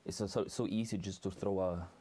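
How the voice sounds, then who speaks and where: Man in his forties from Norway, very low voice, tired and easygoing, casual guest. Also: steady tone, fairly quick, warm tone, breathy edge. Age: 40s. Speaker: guest rapper. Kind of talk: casual interview chat. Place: cluttered storage room indoors.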